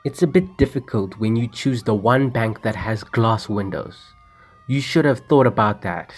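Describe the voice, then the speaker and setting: A man's voice: lowish voice, moderate pace, lightly acted. A young man acting a commanding, impatient armed bank robber in an amateur radio drama. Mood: irritated, urgent. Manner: commanding, curt. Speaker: student actor.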